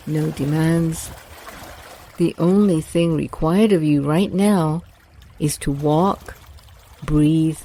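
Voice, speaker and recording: A woman guiding a meditation in a slow, soft, soothing voice. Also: deep voice, slight background noise. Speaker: meditation guide. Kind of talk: guided meditation narration.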